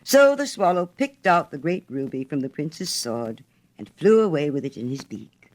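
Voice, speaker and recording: A woman narrates a fairy tale from a storybook. Her reading is expressive, with pitch that swings widely from phrase to phrase. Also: moderate pace, poor audio.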